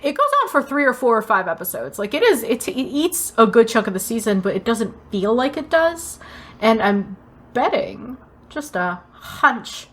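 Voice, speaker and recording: A woman co-hosting a podcast in casual, informal conversation, rambling along in an animated way. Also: on the high side, normal speed. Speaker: podcast co-host. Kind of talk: casual chat about anime and stage plays.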